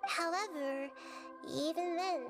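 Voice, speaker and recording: Childlike deity for a game: high-pitched, calm, articulate, thoughtful, over clear music. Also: female voice, slow, full character voice. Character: small, childlike female god, wise, gentle and composed. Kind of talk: video game cutscene acting.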